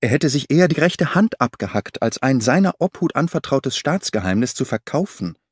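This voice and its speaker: A man's voice: mid-range voice, very animated, moderate pace, husky, no echo. A man reading the part of a grieving young fiancée in an audiobook, pleading and insistent, in a dry recording.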